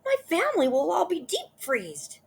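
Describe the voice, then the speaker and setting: A woman's voice: extremely high pitch, huge pitch swings, normal speed, gravelly, pitched above the performer's own voice, exaggerated voice. A woman reading a storybook aloud, voicing an earnest, fretful father monkey.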